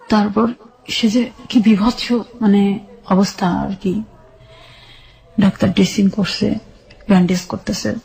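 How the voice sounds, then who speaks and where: Middle-aged Bangladeshi woman, mellow and level in pitch, giving sombre personal testimony as a radio guest. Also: poor audio. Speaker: guest telling her life story.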